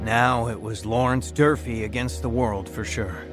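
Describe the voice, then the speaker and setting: A man's voice: medium pitch, fairly even intonation, measured pace, over music, close to an everyday voice. A man acting as a bitter, hot-tempered working-class mechanic telling his life story in a radio drama, blunt and combative. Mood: grave, reflective.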